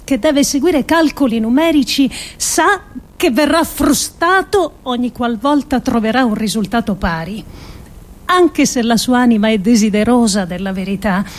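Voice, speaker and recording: An actress reads a scripted radio monologue. Her voice sits fairly high, and she delivers each phrase deliberately, with dramatic pauses. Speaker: actress reading an adapted essay.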